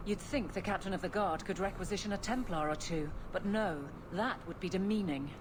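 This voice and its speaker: A woman's voice: medium pitch, brisk, noisy background, close to an everyday voice. An actress playing a brusque, dutiful woman captain of the city guard in a video game, speaking in a dry, clipped, wry way. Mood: curt, exasperated.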